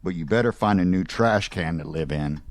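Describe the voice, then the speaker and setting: An actor playing a menacing, controlling crime boss who gives commands in a cold, deliberate way in an audio drama. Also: male voice, deep voice, no room sound, natural voice. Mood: stern command.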